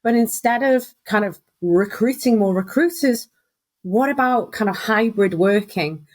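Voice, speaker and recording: A woman in her thirties chats easily as an interview guest, spinning her story with quick asides. Her voice sits in a middle register and she slips into little acted-out quotes as she goes. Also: mid-range voice. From Wales. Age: 30s. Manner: chatty storyteller, acts out quoted voices, laughs often. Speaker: guest, recruitment business founder. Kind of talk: casual interview chat.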